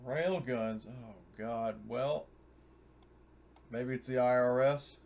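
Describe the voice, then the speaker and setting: A man with a medium-pitched voice chats as a player in a tabletop roleplaying game. His talk is informal and salty, slipping in and out of character. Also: takes it slowly, darkish tone. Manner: informal, sweary.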